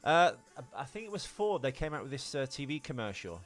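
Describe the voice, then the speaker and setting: A man's voice: mid-range voice, big pitch swings, fairly quick, a bit bright. A man co-hosting a podcast in casual film chat, rambling through opinionated film-buff explanations, with soundtrack music behind him. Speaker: podcast co-host. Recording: music playing behind the talk.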